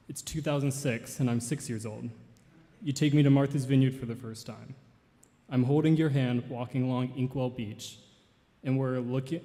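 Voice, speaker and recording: A man in his twenties, a grandson giving a memorial tribute and reading a poem in an earnest, measured way. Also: mid-range voice, dark tone. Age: 20s. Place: large church hall. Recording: long hall reverb.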